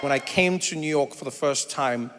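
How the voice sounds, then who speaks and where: A middle-aged man from Africa, doing animated stand-up comedy and crowd work, breaking into shouted impressions and teasing banter. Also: mid-range voice, moderate pace. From South Africa. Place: stage in a large theater before an audience. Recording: live theater with long hall reverb and faint room noise.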